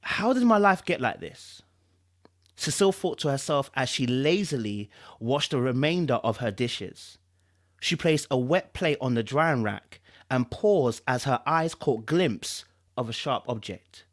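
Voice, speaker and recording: A British man with a London accent and a mid-pitched voice. He hosts a live book reading, speaking informally to his listeners and delivering text with dramatic emphasis. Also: animated, a little slow. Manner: informal, repetitive, checks in with listeners. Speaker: live-stream host and book reader.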